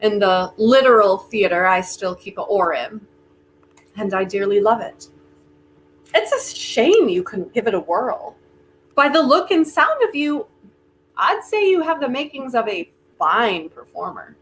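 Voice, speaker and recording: Casting: woman as genial, boastful older magnate, stagey and persuasive, unhurried delivery, comic play reading, faint noise on the line. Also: medium pitch, sing-song. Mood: flattering, persuasive. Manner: theatrical, coaxing, wry. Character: genial, self-important aging theater-loving tycoon bargaining with Death. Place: remote virtual reading. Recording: noisy online video call audio.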